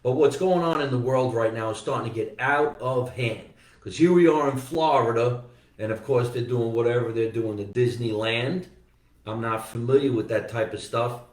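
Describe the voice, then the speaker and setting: A man hosts a comedy podcast in a relaxed chatty way and wanders off into joking tangents. His voice sits at an ordinary pitch. The room echoes, and he is heard a little away from the mic. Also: moderate pace, far from the mic. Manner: rambling, joking asides. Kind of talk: casual comedic chat. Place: room in Florida.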